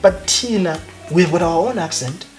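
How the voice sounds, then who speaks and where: A man with a Zimbabwean accent, a guest academic talking emphatically and with passion in a relaxed interview. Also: high voice, normal speed. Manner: emphatic, passionate, mixes in Ndebele phrases. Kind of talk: conversational interview. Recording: background music. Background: music.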